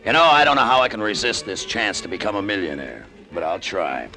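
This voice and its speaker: A man's voice: fairly low pitch, lively intonation, brisk, sharp tone. An actor playing a cynical, down-on-his-luck American pilot in 1950s radio drama, with sardonic, slangy delivery. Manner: sardonic, slangy, world-weary. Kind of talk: 1950s radio drama acting, first-person narration. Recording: vintage radio drama with music behind.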